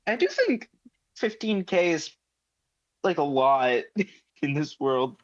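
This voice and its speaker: A man's voice: a little high, sing-song, slow pace, no room sound. A man chatting casually as a tabletop game player, with playful, dry irony. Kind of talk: casual joking chat. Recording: slightly dull, lo-fi audio.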